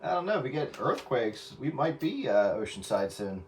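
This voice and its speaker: A man's voice: medium pitch, sing-song, normal speed. Distant American man on a noisy radio. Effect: radio transmission. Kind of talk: casual CB radio chat.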